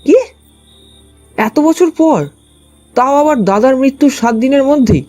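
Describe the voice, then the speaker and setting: A man reading a first-person scripted story as its narrator, with expressive storytelling delivery. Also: very high-pitched, unhurried, muffled recording, soft background music. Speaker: narrator and detective's companion.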